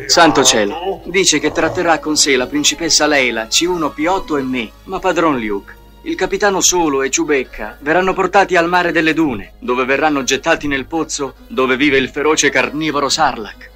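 A man's voice: fairly high pitch, normal speed, pushed and bright, faint music behind. A man playing a fussy, anxious protocol droid with a prim, fretful manner.

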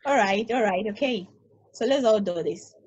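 A woman's voice: medium pitch, moderate pace, a little mellow, husky, lo-fi sound, close to an everyday voice. A woman reading the part of a weary space probe who keeps the peace, tired and placating, at a video-call table read. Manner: tired, placating, then exasperated.

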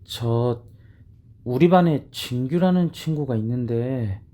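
A woman's voice: a bass voice, slow, mellow, voice pushed down. A woman doing a shy, hesitant teenage boy. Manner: hesitant, trailing off mid-sentence. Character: shy teenage boy, middle schooler, withdrawn. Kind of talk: audio drama acting.

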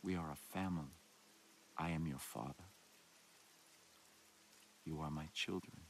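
Game villain: solemn male cult prophet, very deep voice, measured sermon delivery, faint hissy ambience. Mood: soft, fatherly. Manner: slow, deliberate, grave, rhetorical. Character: solemn, messianic male doomsday cult leader. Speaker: video game villain, preacher. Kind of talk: dramatic sermon and broadcast monologue.

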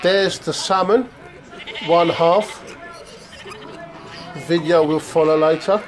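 A man's voice: on the high side, slow, background noise. A man giving a casual, jokey running commentary on his home cooking like a showman chef. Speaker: home cook presenting his food. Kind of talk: casual cooking video narration. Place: home kitchen. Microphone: handheld phone behind the camera.

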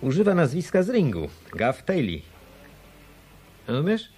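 An animated, uneasy hotel bookkeeper. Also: male voice, fairly high pitch, a little slow, husky, full character voice. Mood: friendly. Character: uneasy, friendly male hotel bookkeeper hiding guilt. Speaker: actor in a radio play. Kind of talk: Polish radio drama dialogue.

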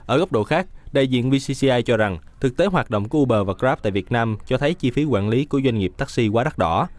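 Brisk male news voice-over, dry. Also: mid-range voice, dry recording. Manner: brisk, even newsreader delivery. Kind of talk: scripted news narration.

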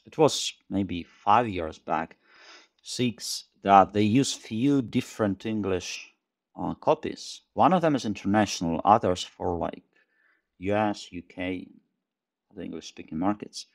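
A man in his forties, a tech company chief, talking over a video call in a thoughtful, halting way, often repeating words as he searches for them. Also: fairly low pitch, animated, gravelly. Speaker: tech company CEO guest. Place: small home room indoors. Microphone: headset over video call.

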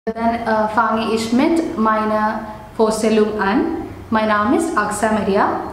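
A young woman from Kerala with a Malayalam accent, a German teacher conducting a mock speaking exam and giving slow, careful instructions. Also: fairly high pitch, fairly even intonation. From Kerala, India. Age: 20s. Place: echoey classroom. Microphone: camera across the desk. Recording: very echoey room with faint hum.

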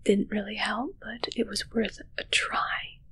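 A mischievous, fussy witch, acted by a young woman, whispers very close in an ASMR roleplay. The voice is extremely breathy, slow and crisp with bright sibilance. Teasing insults come out in a deadpan way. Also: mid-range voice, heavily acted. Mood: fussy, disdainful. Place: small indoor set with curtains. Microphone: close ASMR microphone.

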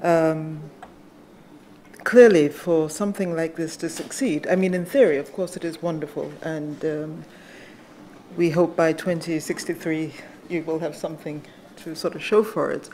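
A soft-voiced middle-aged woman from Ghana speaking at a conference panel in a measured, hesitant way, with many fillers, into a table microphone in a large hall. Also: low-pitched, steady tone, a little hiss or hum. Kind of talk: panel discussion remarks.

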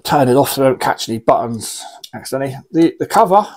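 A man's voice: medium pitch, animated, quick pace, darkish tone. A British man in his forties giving a casual, unscripted gear review in a wry, blunt, rambling way, recorded in a small room. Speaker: radio hobbyist reviewing gear. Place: small indoor radio room.

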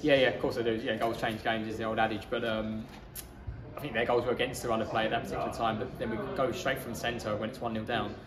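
Fast, flat-toned man. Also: on the low side. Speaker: football manager. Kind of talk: post-match interview. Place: outdoors in a small football ground stand. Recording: echoey stand with crowd noise.

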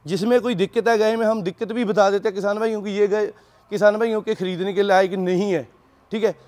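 A man selling dairy cattle, giving an informal pitch and respectfully addressing the listener as sir again and again. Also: steady tone, fast talker, darkish tone. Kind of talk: informal sales pitch to camera. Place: dairy farm.

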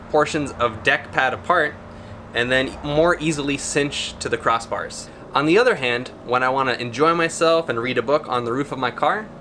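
A man's voice: mid-range voice, pushed and bright, slight background noise. A man in his thirties giving an upbeat, friendly how-to talk outdoors beside his van, with laid-back slang. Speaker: vlogger.

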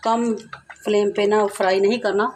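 Woman, home cooking host, chatty and repetitive, mid-pitched voice, faint background hiss. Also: flat delivery, normal speed, darkish tone. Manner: chatty, repeats herself, addresses viewers directly. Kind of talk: home cooking tutorial, casual explaining. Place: home kitchen, over a stovetop.

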